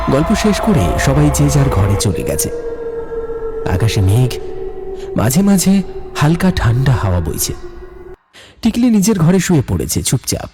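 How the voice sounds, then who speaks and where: A man narrating a cartoon ghost story in a hushed, suspenseful way. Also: mid-range voice. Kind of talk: scripted horror story narration.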